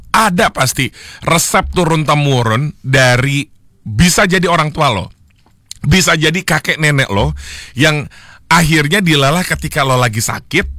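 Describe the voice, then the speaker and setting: A young man hosting a solo radio show, chatting casually with listeners and slipping English phrases into his talk, with a slightly hoarse throat. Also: mid-range voice, pushed and bright, dry recording, studio quality. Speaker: radio host. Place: radio studio. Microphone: studio microphone.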